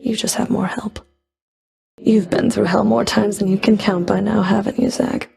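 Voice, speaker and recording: Stern female underworld Fury for a game: heavy creak, proud and disdainful, tightly controlled. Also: mid-range voice, steady tone, normal speed, darkish tone, heavily acted. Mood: proud, cold. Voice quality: gravelly, creaky. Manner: cool, clipped, controlled, disdainful. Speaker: video game voice actor. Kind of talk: scripted video game character dialogue.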